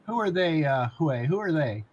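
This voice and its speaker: A man's voice: on the high side, lively intonation, a little fast, muffled recording, crowd noise. A man interviewing a guest conversationally, feeling his way through questions and rephrasing them. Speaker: interviewer, host.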